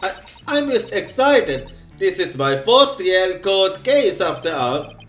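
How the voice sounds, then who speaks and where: A man's voice: sits high, very animated, takes it slowly, a bit bright, roomy sound, faint music behind, exaggerated voice. A man voicing an eager young woman lawyer. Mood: excited.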